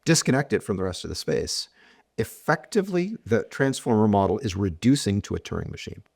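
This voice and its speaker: A man's voice: lowish voice, big pitch swings, brisk, gravelly, a little mellow. A middle-aged man, a mathematician being interviewed, explaining ideas thoughtfully with frequent restarts and examples. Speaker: mathematician guest. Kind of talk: academic podcast interview.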